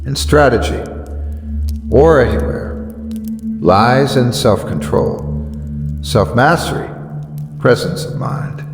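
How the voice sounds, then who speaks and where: A man delivers dramatic motivational narration with an animated, swooping intonation. He speaks slowly and solemnly, pausing for weight and stressing key words. His voice is drenched in long reverb. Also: mid-range voice. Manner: deliberate, solemn, emphatic with weighty pauses. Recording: echoey long reverb over music. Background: background music.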